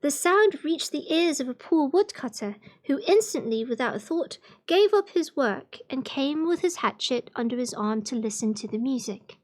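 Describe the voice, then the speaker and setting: High-voiced woman reading fairy tales. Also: animated, normal speed, hardly any pauses. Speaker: storytelling livestreamer.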